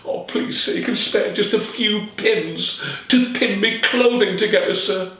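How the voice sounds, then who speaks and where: A man's voice: high-pitched, unhurried, bright, forceful tone, pitched above the performer's own voice. A man voicing a desperate, ragged teenage girl who pleads and whimpers, in an echoey room. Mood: pleading. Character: desperate ragged fourteen-year-old girl, begging. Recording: very echoey, mic at a distance.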